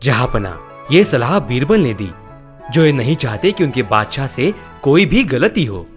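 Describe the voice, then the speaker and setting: A man playing an unlucky commoner, pleading and deferential yet clever, in a children's animated story. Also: mid-range voice, unhurried, faint music behind. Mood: respectful.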